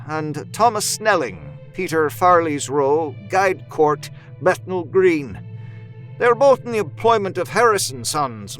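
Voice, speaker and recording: High-voiced dutiful Victorian letter writer. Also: male voice, fairly bright tone. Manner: formal, dutiful. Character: deferential, businesslike male Victorian letter writer. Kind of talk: audio drama letter narration.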